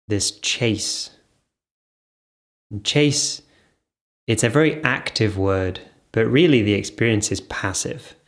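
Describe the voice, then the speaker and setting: A man leading an off-the-cuff guided meditation, speaking in short phrases with gentle pauses between them. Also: lowish voice.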